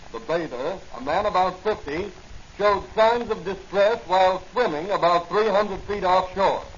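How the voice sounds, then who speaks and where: An actor playing a formal, urgent radio newsreader delivering a 1940s-style news bulletin. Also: male voice, high voice, lightly acted. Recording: muffled, noisy old 1940 radio recording.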